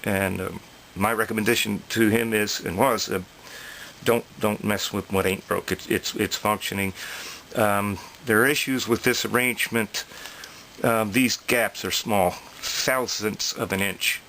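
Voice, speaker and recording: A man giving an informal, unscripted technical explanation, haltingly thinking aloud and repeating points for emphasis. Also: lowish voice, steady tone, gravelly, bright, forceful tone, slight background noise, rough recording. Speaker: hobbyist presenter explaining electronics.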